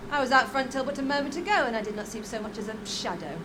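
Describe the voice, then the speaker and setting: A woman's voice: a little high, very fast, lightly acted. An actress playing a sly, poised lady of a pleasure house in a game cutscene, with archaic, haughty and teasing phrasing. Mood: haughty, stern. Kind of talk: video game cutscene acting. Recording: heavy steady noise behind.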